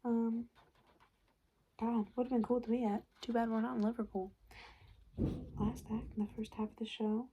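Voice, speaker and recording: A woman speaking softly and breathily in an ASMR roleplay, often slipping into a whisper, chatty and a little hesitant. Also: fairly high pitch, level tone, moderate pace, long pauses, muffled recording. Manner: hesitant, chatty, murmured reading aloud. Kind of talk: soft-spoken ASMR roleplay. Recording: noticeable background noise. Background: paper and tapping sounds.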